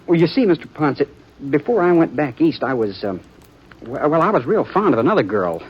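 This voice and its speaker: An earnest young American man of the Old West, lovestruck and stammering. His voice sits high and swings up and down with a husky edge, on a muffled old radio drama recording. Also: very animated, fairly quick. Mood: confiding, torn. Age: young adult. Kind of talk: 1950s radio drama acting.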